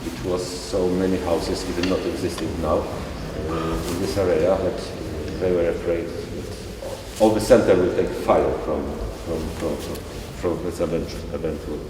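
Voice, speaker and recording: Man, tour guide, halting, slow, distant mic, roomy echo, noisy ambience. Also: low voice, fairly even intonation. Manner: halting, searching for words, many restarts. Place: large echoing synagogue hall. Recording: echoey hall recorded from far off.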